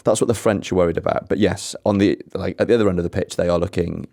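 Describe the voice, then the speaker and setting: Low-voiced man with dry wit. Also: steady tone, creaky, warm tone. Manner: dry wit, measured analysis. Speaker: football journalist guest. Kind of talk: casual podcast chat.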